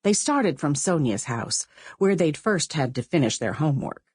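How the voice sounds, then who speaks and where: A woman reading a novel as an audiobook narrator, with measured, expressive storytelling. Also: low voice, husky, dry recording, clean studio sound. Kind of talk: scripted literary narration.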